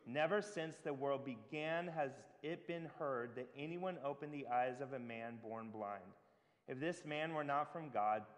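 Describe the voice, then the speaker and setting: A man preaching a sermon in a church, his mid-pitched voice trailing off into the long reverb of a big hall. He jokes at his own expense and fills the gaps with loose, chatty asides. Then he settles into reading scripture aloud. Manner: joking, self-mocking asides; loose and chatty, with frequent fillers.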